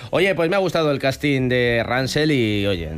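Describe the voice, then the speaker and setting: A man from Spain hosting a casual panel chat, talking with emphatic, animated energy and laughing easily. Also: mid-range voice, moderate pace. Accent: Spain Spanish. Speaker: host.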